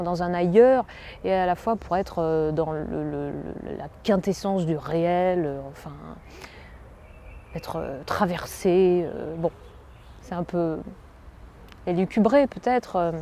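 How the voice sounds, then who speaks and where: A young woman from France, an actress, speaking in a slightly low, mellow voice. She muses aloud, halting often and circling back over her words. Faint background noise sits under her voice. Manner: musing, halting, many fillers and restarts. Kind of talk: self-presentation interview.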